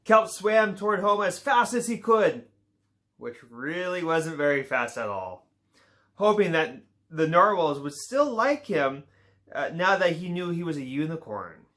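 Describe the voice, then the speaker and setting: A man reading a children's picture book aloud in a relaxed way, with small slips and playful asides. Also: fairly high pitch, unhurried. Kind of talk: read-aloud children's story.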